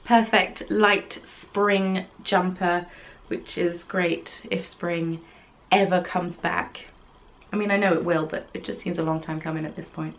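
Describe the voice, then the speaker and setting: A woman's voice: lowish voice, steady tone, measured pace, poor audio. A young woman hosting a knitting podcast, chatting casually from her craft room in a rambling, self-deprecating way with plenty of ums. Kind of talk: casual chat. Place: small craft room at home, yarn on the wall behind.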